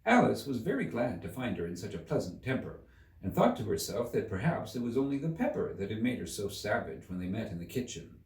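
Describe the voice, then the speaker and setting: A man reading a story aloud as an audiobook narrator, in an expressive scripted storytelling style. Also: fairly low pitch, fairly quick. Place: room inside a museum. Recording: roomy, echoey, distant from the mic.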